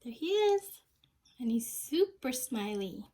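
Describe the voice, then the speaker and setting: A young woman with a high voice reads a children's picture book aloud. She takes it very slowly, stopping to put questions to her young listeners and explain in simple words. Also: very animated, dark tone. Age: young adult.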